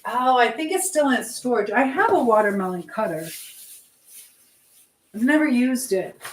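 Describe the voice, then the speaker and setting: A woman's voice: a little high, expressive, normal speed, poor audio. A woman hosting a casual live chat, rambling and trailing off mid-thought as she talks to viewers and reads their comments. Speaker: live stream host.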